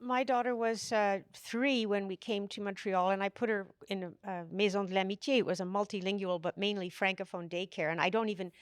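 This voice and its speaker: Animated woman in her fifties telling a story. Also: mid-range voice, a little fast, few pauses, clear, resonant tone, no echo, clean studio sound. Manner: animated storyteller, quoting voices. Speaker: interviewee. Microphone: stand mic close by.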